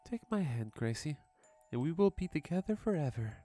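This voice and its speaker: A man's voice: on the high side, sing-song, moderate pace, warm tone, faint music behind, voice pushed up. A man voicing a sinister female demon hag who coaxes a child with sweet menace in a horror story. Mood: sinister coaxing.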